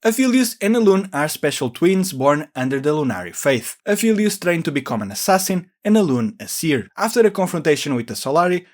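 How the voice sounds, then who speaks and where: A man's voice: on the high side, very animated, brisk, few pauses. A man hosting a video game lore explainer, reading scripted narration.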